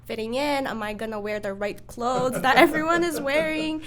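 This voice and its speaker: A teenage girl from the Philippines, whose first language is not English, answering interview questions in an earnest, soft-spoken way. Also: high voice, fairly even intonation, moderate pace, over a noisy crowd. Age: teens. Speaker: guest, student body vice president. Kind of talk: casual interview.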